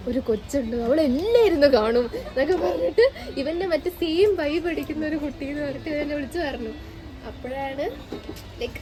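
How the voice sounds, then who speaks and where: A young woman chatting casually among friends, thinking aloud with English words mixed in. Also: sits high. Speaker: friend of the host. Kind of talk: casual group chat.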